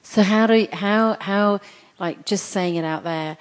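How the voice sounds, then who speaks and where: A woman's voice: mid-range voice, a little slow. A woman hosting an informal live panel interview, chatty and encouraging as she puts questions to guests. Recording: echoey hall with long reverb.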